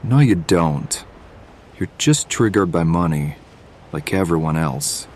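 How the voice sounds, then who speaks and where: A man's voice: sits low, animated, slow, a little mellow, full character voice. A man acting a bitter, accusing, distrustful hireling in an audio drama.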